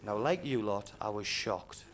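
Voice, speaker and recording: A man from northeast England with a Geordie accent, doing a deadpan, punchy stand-up routine with dark jokes. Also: lowish voice, a little slow. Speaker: stand-up comedian. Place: comedy club stage. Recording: live stage, crowd and noise.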